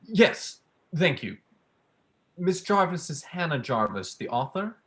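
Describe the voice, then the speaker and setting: A man's voice: on the high side, big pitch swings, slow pace. A young man acting a polite, cagey male visitor with a hesitant manner in a staged play reading. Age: young adult.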